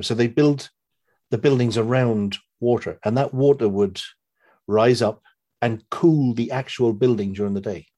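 A man with a husky voice chatting casually, retelling stories in a rambling, animated way with some swearing. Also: medium pitch. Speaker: podcast guest. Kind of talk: casual chat, retelling a story.

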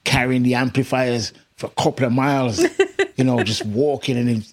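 A middle-aged English musician with a British accent touched by Jamaican Caribbean tones, telling stories casually in an interview and laughing often. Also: male voice, mid-range voice, moderate pace. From England. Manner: laughs often, animated anecdotes. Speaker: musician guest.

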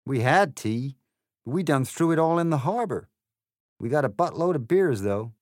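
A brash cowboy hare with a slow drawl, as a male reader puts him on. His voice climbs and swoops, lively and sure of itself. It sounds close and dry. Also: fairly high pitch, no room sound, pitched above the performer's own voice, natural voice. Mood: breezy.

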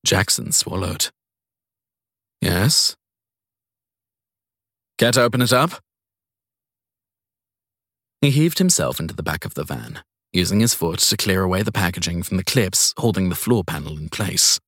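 A man's voice: low voice, animated, brisk, fairly bright tone, husky, dry recording. A man reading scripted crime fiction as an audiobook narrator, recorded close up.

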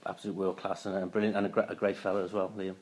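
A man in his late fifties from Wales, a former footballer, talking through his career in a casual interview, often pausing and restarting his sentences. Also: deep voice, little pitch movement, darkish tone. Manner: halting, many restarts and fillers.

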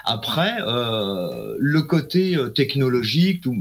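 A man's voice: medium pitch, big pitch swings, slow, vocal fry. A man in his forties talking casually on a live talk show, rambling and hesitant, with plenty of slang. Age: 40s. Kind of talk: casual chat.

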